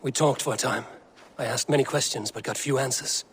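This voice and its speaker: An actor playing a brooding, determined Viking warrior in a video game cutscene, speaking in a measured, terse way. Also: male voice, medium pitch, breathy edge. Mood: troubled. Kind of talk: dramatic game cutscene acting.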